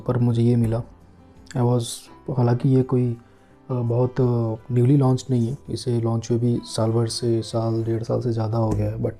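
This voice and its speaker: Very dark-voiced, even-toned man. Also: mid-range voice. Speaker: vlogger reviewing a product. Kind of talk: casual unboxing commentary. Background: background music.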